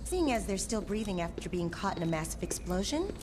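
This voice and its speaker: A woman's voice: medium pitch, big pitch swings, a little fast, natural voice. Woman playing a composed doctor, noisy background. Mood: composed, dry. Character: composed, dry-witted female prison doctor. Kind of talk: video game cutscene acting.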